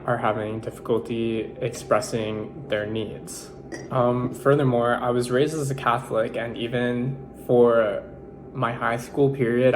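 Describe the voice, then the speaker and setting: Young man from the Philippines, Tagalog first language, mid-pitched, earnest nursing-student reflection, faint hum. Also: rough recording. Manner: earnest, measured, with self-corrections and fillers. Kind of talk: reflective personal monologue.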